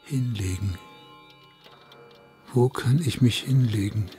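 An actor playing a paranoid escaped madman in a radio play, muttering and brooding in a husky, gravelly voice. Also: mid-range voice, takes it slowly, mellow, faint music behind, close to an everyday voice. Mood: suspicious, weary.

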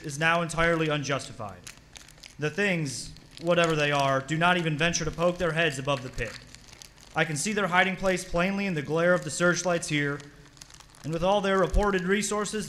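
A man acting as a military captain delivers a formal field report in an old-fashioned radio play. His voice is high and forceful, caught in a live recording with faint noise. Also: lightly acted. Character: army captain reporting from battlefield, confident turning alarmed. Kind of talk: 1930s radio drama reenactment, live performance.

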